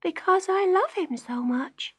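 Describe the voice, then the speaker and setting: A woman's voice: very high voice, animated, unhurried, a bit bright, pitched above the performer's own voice, heavily acted. A woman voicing an innocent little farm girl in a children's storybook, earnest and childlike.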